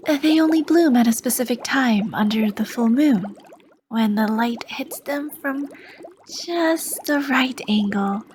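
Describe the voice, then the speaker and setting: A woman playing a charming, sly potion shopkeeper, talking slowly and softly with a teasing edge and dipping into whispers. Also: fairly high pitch, slight background noise. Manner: slow, soft-spoken, teasing, drifting into whispers. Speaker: ASMR roleplay performer. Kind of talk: ASMR roleplay.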